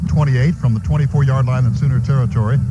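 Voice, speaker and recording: A man's voice: medium pitch, steady tone, quick pace, dull audio. A man with an American accent calling a football game live as a radio play-by-play announcer. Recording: noisy live stadium radio broadcast. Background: loud stadium ambience.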